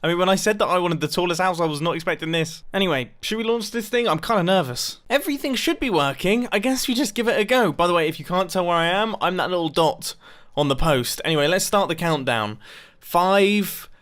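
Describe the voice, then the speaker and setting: A young man giving casual, excitable commentary over a video game, laughing often and joking about himself. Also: sits high, a little fast. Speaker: gaming YouTuber.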